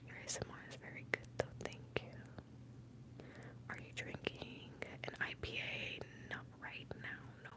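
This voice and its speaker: Young woman whispering closely, native Spanish speaker. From born in Mexico, moved to the US as a young child. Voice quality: breathy whisper. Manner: soft, unhurried, close to the microphone. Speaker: ASMR live streamer. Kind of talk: ASMR whispering, casual rambling and answering chat. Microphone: close, highly sensitive microphone.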